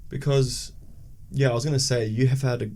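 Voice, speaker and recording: A man hosting a podcast, asking relaxed questions and giving short replies. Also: medium pitch, fairly quick. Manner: relaxed, brief back-channel replies. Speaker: podcast host. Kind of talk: casual interview.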